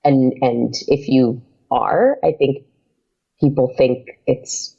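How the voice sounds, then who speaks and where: Gravelly, chatty woman on a dull lo-fi line. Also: extremely low pitch, takes it slowly. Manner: chatty, laughs often, many fillers. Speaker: podcast guest. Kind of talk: casual interview chat.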